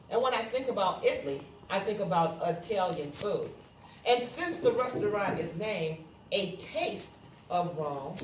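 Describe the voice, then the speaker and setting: A woman's voice: medium pitch, recorded from a distance. Woman teacher in a noisy, roomy classroom. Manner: instructional, repeats and checks for understanding. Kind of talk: classroom read-aloud lesson, explaining step by step with questions to students. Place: classroom, indoors.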